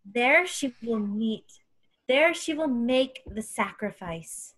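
A woman's voice: on the high side, animated, fairly bright tone, full character voice. A woman playing a cryptic alien seer who speaks in solemn, oracular broken phrases. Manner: slow, oracular, broken syntax.

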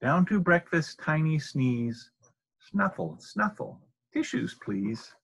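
A middle-aged man with a husky voice reads a children's picture book aloud in a deliberate, sing-song way. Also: fairly high pitch, animated, fairly bright tone. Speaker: storybook reader. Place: small indoor room.

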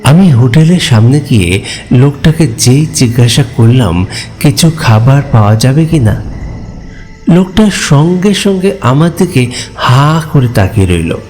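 A man playing a hungry, stranded village traveler, telling his ghost story in expressive, polite, conversational first-person narration and dialogue. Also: mid-range voice, unhurried, faint music behind, natural voice. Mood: uneasy storytelling.

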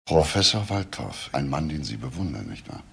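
A male actor playing a deliberate, insistent police investigator in a crime radio play. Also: a bass voice, very animated, vocal fry, sharp tone, a little hiss or hum, full character voice. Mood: probing. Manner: deliberate, pointed, questioning. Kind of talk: radio crime drama acting.

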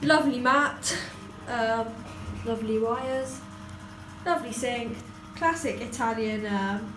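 A woman's voice: a little high, takes it slowly. A teenage girl chatting through a casual travel vlog from a small hotel room, giggly and offhand, filming on a phone at arm's length. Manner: giggly, chatty, tours the room with dry remarks. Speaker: vlogger. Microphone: phone camera held at arm's length. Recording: noisy phone recording.

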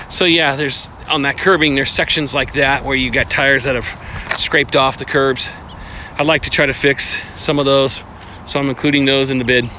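A man's voice: mid-range voice, bright, forceful tone, a little hiss or hum. A man walking an outdoor parking lot with a handheld phone, narrating a plain, matter-of-fact walkthrough and counting aloud with fillers. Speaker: paving estimator. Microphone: phone held in hand while walking.

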